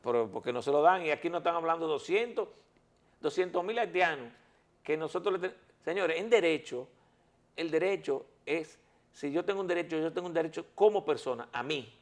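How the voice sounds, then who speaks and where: Man, lawyer guest, slightly high voice, insistent, repeats words to keep talking. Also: expressive, a little fast. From Dominican Republic. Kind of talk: heated talk-show debate.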